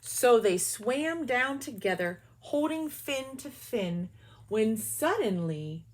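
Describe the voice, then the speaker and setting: A woman teacher reading a children's picture book aloud with expressive storytelling and quick, quiet asides. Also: a little high.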